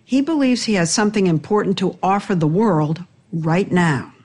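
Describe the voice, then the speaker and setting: A woman correspondent narrating a television news feature in a measured, scripted way. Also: fairly low pitch, darkish tone. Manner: measured, deliberate.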